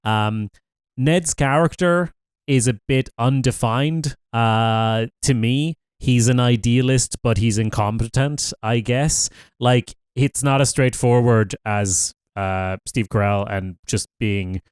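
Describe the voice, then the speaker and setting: A man's voice: mid-range voice, slow pace, clean tone, no echo, studio quality. A man co-hosting a podcast, chatting casually about TV shows and thinking aloud with fillers. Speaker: podcast co-host. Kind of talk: casual TV review chat.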